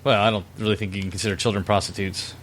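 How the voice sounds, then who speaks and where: A man's voice: on the low side, steady tone, racing, a bit bright, slight background noise. Sarcastic, foul-mouthed male podcast host. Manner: sarcastic, swears freely, laughs mid-sentence. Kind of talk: casual profane podcast chat.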